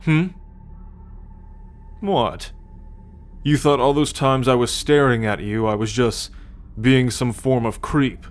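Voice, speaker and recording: A man playing a smug, teasing, haughty alien soldier and scout in an ASMR roleplay, talking straight to the listener with deliberate condescension. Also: medium pitch, fairly even intonation, natural voice. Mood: teasing, boastful. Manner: slow, deliberate, smugly condescending.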